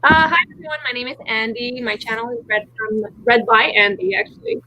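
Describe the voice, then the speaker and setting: Bright, high-voiced woman. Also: animated, a little fast, poor audio. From Montreal, Quebec, Canada. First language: French. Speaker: book YouTuber, panel guest. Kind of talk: casual chat.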